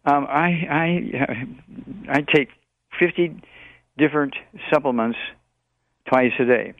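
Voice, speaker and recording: A man in his eighties with a creaky voice over a narrow phone line. He is a radio guest promoting health remedies, rambling along in repetitive, list-like answers. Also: medium pitch, slow pace, no room sound. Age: 80s. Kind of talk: radio call-in question and answer.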